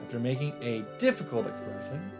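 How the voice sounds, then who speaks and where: A man's voice: mid-range voice, sing-song, fairly quick. Man reading visual novel narration aloud over music. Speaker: let's play host reading aloud. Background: game music.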